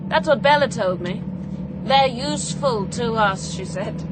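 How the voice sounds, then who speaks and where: Simple-spoken woman, high voice, radio drama, loud outdoor noise. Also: lively intonation, measured pace. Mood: puzzled. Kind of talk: British radio drama acting.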